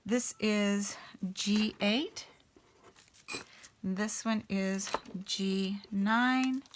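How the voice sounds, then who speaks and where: A woman hosting a casual coloring session, a little raspy as she gets over an illness, thinking aloud in an unhurried way with many ums. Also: mid-range voice, background noise. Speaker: artist and channel host. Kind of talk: casual color-along chat.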